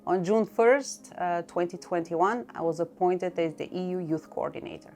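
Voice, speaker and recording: A middle-aged woman from Bulgaria with a Bulgarian accent, explaining her work in a measured way and listing items one by one. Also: fairly low pitch, warm tone. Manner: measured, lists items one by one with short pauses. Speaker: EU youth coordinator. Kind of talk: explanatory talk to camera. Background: faint background music.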